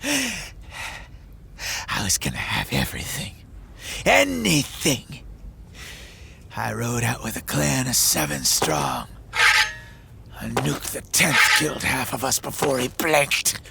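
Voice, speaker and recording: An actor playing a bitter one-legged monster hunter who boasts loudly and drops into hoarse whispers. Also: male voice, medium pitch, wildly swooping pitch, slow, pauses often, noisy background, full character voice. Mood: haunted warning. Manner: blustering, shouting boasts then dropping to hoarse whispers. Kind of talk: audio drama acting.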